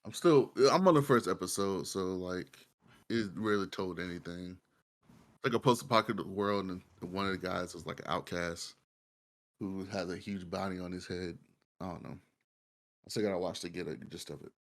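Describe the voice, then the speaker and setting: Low-voiced man in casual chat. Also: normal speed. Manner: rambling, informal, profane. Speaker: podcast panelist reviewing shows. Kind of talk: casual chat with slang and swearing.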